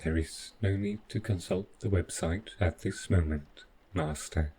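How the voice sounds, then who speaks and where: Man voicing a slow, deep, formal robot butler. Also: dark tone, slight background noise. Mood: evasive, insistent. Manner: formal, measured, deliberate robotic phrasing. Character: overprotective male robot butler, evasive and stiffly polite. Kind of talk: scripted audio roleplay addressed to the listener.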